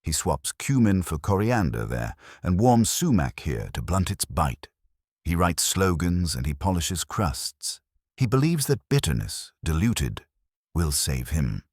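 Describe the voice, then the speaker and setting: A man voicing an imperious, cerebral detective in an audiobook, speaking formally and deliberately. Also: sits low, sing-song, a little mellow, no room sound, studio quality, heavily acted.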